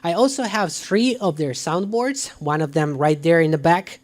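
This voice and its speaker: A man presenting a scripted product review to camera in an emphatic, deliberate way. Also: on the high side, expressive. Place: living room at home. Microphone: dedicated microphone.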